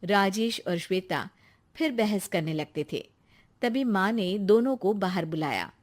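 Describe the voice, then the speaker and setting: A woman's voice: mid-range voice, animated, a little slow. A woman reading scripted narration for a cartoon story.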